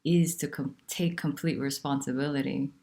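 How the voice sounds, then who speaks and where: A woman teaching about nonduality, talking in a soft, unhurried and candid way with pauses. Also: a bass voice, steady tone, gravelly. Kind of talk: reflective spiritual talk.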